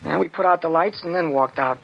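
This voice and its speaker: A hardened crook in an old radio play, surly and combative. His voice is slangy and somewhat high, heard through dull vintage 1940s sound. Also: fairly quick, gravelly, breathy edge. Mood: surly, annoyed. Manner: slangy, belligerent. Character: surly, hardened male criminal, tough hoodlum.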